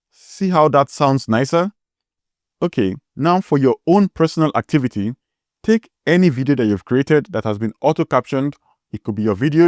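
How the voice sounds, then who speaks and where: A man's voice: mid-range voice, no echo, clean studio sound. A man with a strong Nigerian accent hosting a tutorial, explaining step by step and spelling words out letter by letter. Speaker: tutorial host.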